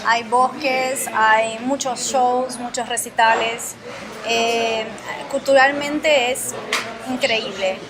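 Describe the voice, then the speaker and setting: A woman with a Brazilian accent chats casually as an interview guest, telling stories in an animated way. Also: on the high side, slow pace, a crowd in the background. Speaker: interview guest, Portuguese teacher. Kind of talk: casual chat with anecdotes.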